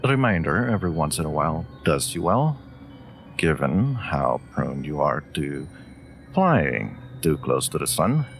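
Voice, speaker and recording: A man voicing a clever, haughty wolf familiar in a scripted fantasy audio roleplay, speaking in a dry, smug, sharp-tongued manner. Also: rumbling low, sing-song, unhurried, creaky, soft background music, heavily acted. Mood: dry, concerned.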